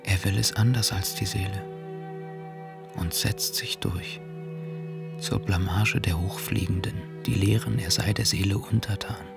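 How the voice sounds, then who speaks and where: A brooding, ironic thinker in a radio play. He speaks slowly and deliberately in a heavily creaking, half-whispered murmur, with music clearly playing behind him. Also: deep voice, fairly even intonation. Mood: brooding, sardonic. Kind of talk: German radio play acting. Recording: music under the voice.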